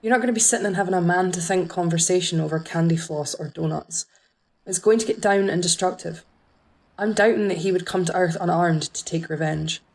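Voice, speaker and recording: A woman's voice: lowish voice, a little fast, darkish tone. A woman playing a tough, no-nonsense task force leader in an amateur audio drama, businesslike and commanding. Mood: sceptical.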